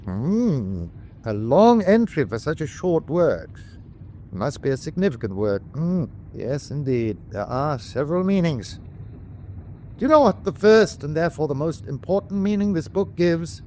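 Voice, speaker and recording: An actor playing a commanding but torn German U-boat captain in a radio drama, with a deliberate, ironic delivery. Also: male voice, fairly high pitch, sing-song, a little mellow, a little hiss or hum. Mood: sarcastic, accusing. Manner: biting, deliberate irony. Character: anguished, sarcastic German U-boat captain, commanding yet reluctant.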